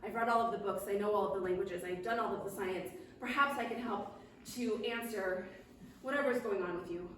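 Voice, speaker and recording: A self-important king who has read all the books, as a woman puts him on, talking slowly in a lowered, grand voice. His manner is stately and fussy. The room rings with echo, and the mic sits far away. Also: natural voice. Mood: pompous. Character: pompous, bookish male king.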